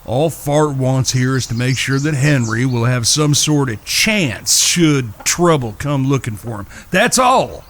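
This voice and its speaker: A man's voice: mid-range voice, expressive, a bit bright. Man acting an earnest, courteous cowboy. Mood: reassuring. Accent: American. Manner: polite, measured, folksy. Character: earnest, courteous cowboy, calm peacemaking brother. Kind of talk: Western audio drama acting.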